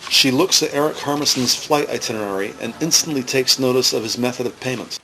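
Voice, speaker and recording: A man reading scripted narration for a police drama in a measured, factual manner. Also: medium pitch, sharp tone, slight background noise, poor audio.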